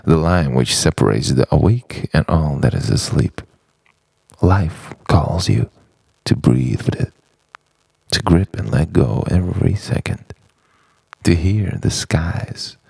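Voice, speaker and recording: A man with an extremely low, creaky voice performs an introspective monologue. He moves slowly through short, halting phrases, with dramatic swings in pitch. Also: a little mellow, no echo. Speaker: narrator of own written reflection. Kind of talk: introspective spoken-word monologue.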